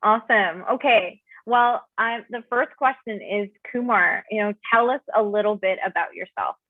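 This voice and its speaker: A woman's voice: mid-range voice, moderate pace, no echo, phone-quality sound. A woman hosting an interview series, enthusiastic and effusive, on video call audio. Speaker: interview host.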